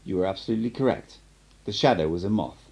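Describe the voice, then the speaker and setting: A man reading as cryptic sorcerer mentor, low, animated. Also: gravelly, breathy edge, full character voice. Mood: solemn, emphatic. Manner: emphatic, measured, instructive. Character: assured male sorcerer mentor, patient and cryptic.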